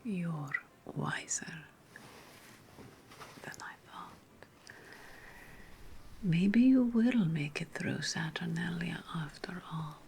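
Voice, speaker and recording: A woman playing a sly, condescending, seductive witch hostess of ancient Rome in a whispered ASMR roleplay, with breathy, lingering hums. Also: fairly low pitch, animated, extremely slow, pauses often, mellow, slight background noise, exaggerated voice. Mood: sly, coaxing.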